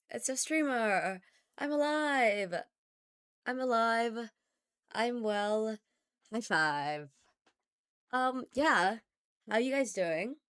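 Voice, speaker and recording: A woman streamer talks casually to her live chat in a hoarse, slightly gravelly voice that is still recovering. Her pitch sits in a middle range. She greets viewers one by one in a chatty way. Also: a bit bright.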